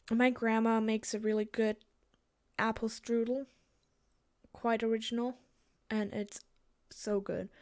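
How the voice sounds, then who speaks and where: A young woman with a German accent and a slight lisp, casually answering listener questions with frequent fillers and giggles. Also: a little high. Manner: hesitant, giggly, lots of fillers. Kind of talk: casual chat.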